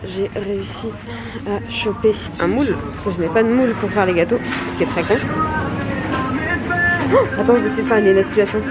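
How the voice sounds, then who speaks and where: A woman's voice: mid-range voice, brisk, long pauses, over loud music, low-quality recording. A young woman from Paris, chatting casually in a playful, slangy, self-mocking way as she vlogs. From Paris, France. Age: young adult. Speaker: vlogger.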